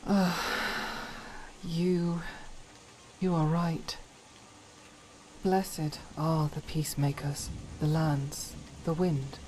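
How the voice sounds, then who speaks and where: An actress playing a devout, troubled warrior woman in a fantasy game, solemn and earnest with halting delivery. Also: low-pitched, level tone, a little mellow. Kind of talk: dramatic fantasy game voice acting.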